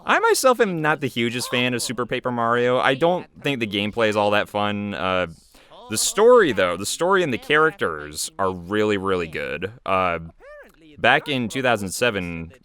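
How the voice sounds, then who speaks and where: A man's voice: fairly low pitch, big pitch swings, moderate pace, pushed and bright. A young man giving casual, rambling commentary as an animator and voice actor, chatty and easily amused.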